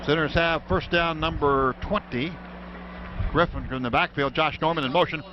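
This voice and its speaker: High-pitched American football play-by-play man, noisy broadcast. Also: moderate pace. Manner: clipped running description, excited on big plays. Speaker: radio play-by-play announcer. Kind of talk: live American football commentary. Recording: dull, noisy radio broadcast from stadium.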